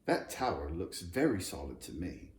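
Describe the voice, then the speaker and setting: A man reading a children's storybook, voicing a kindly, knowledgeable gentleman who explains and reassures. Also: low voice, sing-song, moderate pace. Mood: reassuring. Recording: roomy echo, background noise.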